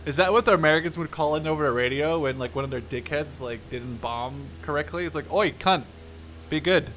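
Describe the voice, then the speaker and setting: Young man, higher-pitched bright voice, excitable sweary gameplay commentary, clear background noise. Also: brisk. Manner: excitable, swears freely, talks to himself. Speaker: gaming YouTuber. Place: small room at home.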